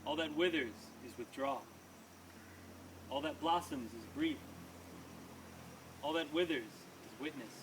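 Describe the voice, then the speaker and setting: A man's voice: on the high side, measured pace, long pauses. A man performing his own poems at a live reading, with an emphatic, rhythmic delivery and casual asides. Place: small live reading before an audience. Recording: distant mic, noisy live room.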